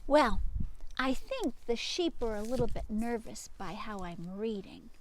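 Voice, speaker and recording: A woman's voice: fairly high pitch, expressive, a little slow, slight background noise, rough recording. A woman hosting a children's storytime, reading aloud and speaking directly to young listeners with questions and asides. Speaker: storytime reader and channel host.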